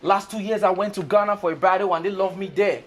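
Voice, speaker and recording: A man's voice: high-pitched, fast talker. A man performing battle rap verses in a boastful, taunting way. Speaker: battle rapper.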